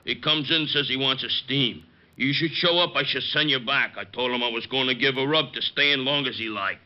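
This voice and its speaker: Gruff male steam-bath attendant played by an actor, mid-pitched, blunt and puzzled, on a dull-sounding vintage radio show. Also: brisk, close to an everyday voice. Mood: defensive, blunt. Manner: blunt working-class phrasing, indignant questioning. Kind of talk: 1950s radio drama bit-part acting. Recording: muffled old-time radio recording.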